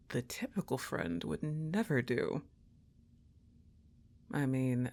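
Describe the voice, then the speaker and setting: A woman playing a laid-back, teasing young goth roommate in an affectionate ASMR roleplay, soft-spoken and often slipping into whispers. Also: very deep voice, full character voice. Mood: playfully matter-of-fact.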